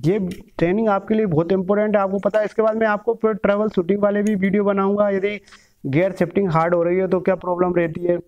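A man's voice: high voice, little pitch movement, fast talker. A man in his thirties teaching bike mechanics, explaining step by step while handling engine parts in a small room. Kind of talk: hands-on workshop lesson. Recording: dull lo-fi sound.

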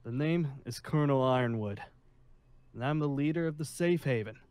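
A man's voice: medium pitch, darkish tone, clean tone, no room sound, lightly acted. Smug, commanding colonel played by a man, dry sound. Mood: smug, calm. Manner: commanding, smug, drawn-out persuasion. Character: smug, menacing male colonel leading a survivor haven. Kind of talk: improvised game roleplay.

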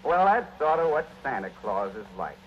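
A man's voice: medium pitch, big pitch swings, moderate pace, a little hiss or hum, close to an everyday voice. A man playing a jolly, kindly old Santa Claus in a radio play. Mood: gently teaching. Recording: muffled 1940s radio recording with music.

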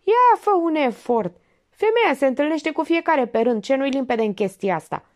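A woman voicing a sharp, sarcastic older female amateur detective who needles a suspect with pointed, mocking remarks. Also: sits high, big pitch swings, voice pushed up.

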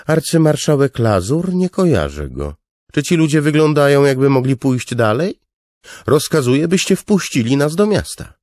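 A man's voice: mid-range voice, sing-song, measured pace, darkish tone, dry recording, studio quality, pitched above the performer's own voice. A man voicing an imperious, demanding young king in an audiobook, haughty and commanding. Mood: indignant.

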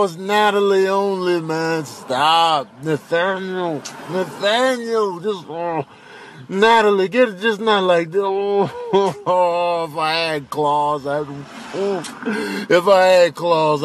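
A man voicing laid-back, put-upon cartoonish animals in a casual, drawn-out comic voice-over. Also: high-pitched, a little hiss or hum. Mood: pleading.

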